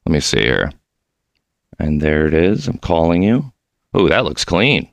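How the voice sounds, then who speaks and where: A man's voice: sits low, sing-song, measured pace, gravelly, no room sound. A man from Mexico hosting a podcast, chatting casually and joking as he talks with his guest. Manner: chatty, jokey, rambling. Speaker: podcast host. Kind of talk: casual interview chat. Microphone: studio mic.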